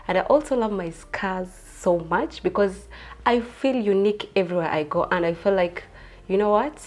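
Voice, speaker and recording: A woman in her twenties from Kenya, a pageant contestant answering interview questions in an earnest, soft-spoken way. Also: mid-range voice, normal speed. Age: 20s.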